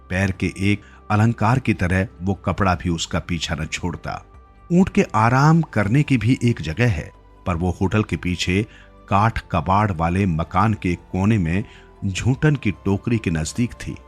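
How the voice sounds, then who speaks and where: A man's voice: deep voice, expressive, slow pace, breathy edge, faint music behind. A man narrating a scripted literary story as a storyteller.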